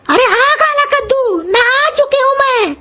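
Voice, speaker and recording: A woman's voice: extremely high pitch, expressive, a little slow, bright, forceful tone, soft background music, heavily acted. A woman voicing a young married sister-in-law in a comedy cartoon, talking casually, on a noisy, clicking track. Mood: casual, questioning. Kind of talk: Hindi cartoon comedy voice acting.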